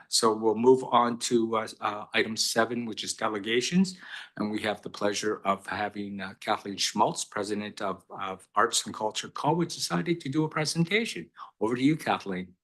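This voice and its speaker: A man with a lowish, bright, forceful voice runs a council meeting as mayor. He moves briskly through the agenda items and procedure, adding a dry joke now and then. It sounds like a council chamber. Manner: brisk procedural chairing with light humor.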